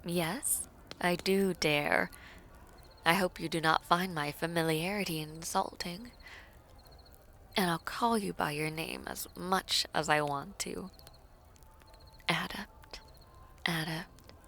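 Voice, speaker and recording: A woman playing a devoted, teasing princess in a romantic audio roleplay, speaking formally and coyly with breathy, whispery softness. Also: fairly low pitch, a little hiss or hum. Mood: tender longing. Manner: slow, formal, coy, often dropping to breathy whispers.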